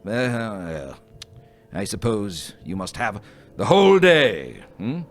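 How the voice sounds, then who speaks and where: A man playing a grumbling, miserly old moneylender in a live radio play, gruff and scoffing. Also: on the low side, wildly swooping pitch, slow, soft background music, heavily acted. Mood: grudging. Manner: gruff, scoffing, snapping out bits.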